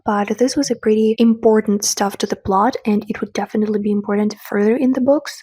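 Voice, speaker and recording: Husky-voiced chatty woman book vlogger. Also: mid-range voice, a little mellow, dry recording. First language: not English; English is her third language. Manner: chatty, gushing, restarts often. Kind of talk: casual reading vlog chat.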